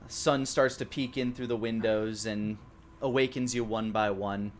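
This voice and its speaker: A man improvising descriptive narration as the game master of a tabletop game, in a halting way. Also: on the low side, fairly even intonation, a little hiss or hum.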